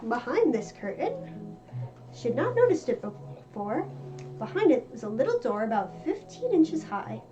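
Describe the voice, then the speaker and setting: A woman reading a children's story aloud in an expressive storytelling style. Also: sits high, moderate pace, muffled recording, over music. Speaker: storybook reader.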